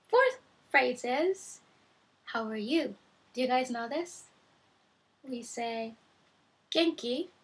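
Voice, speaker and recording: A young woman whose first language is Japanese, teaching simple phrases in a casual video lesson from her bedroom, speaking slowly and repeating each phrase twice on a webcam mic. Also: fairly high pitch, breathy edge. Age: young adult. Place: bedroom, indoors. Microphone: webcam at desk.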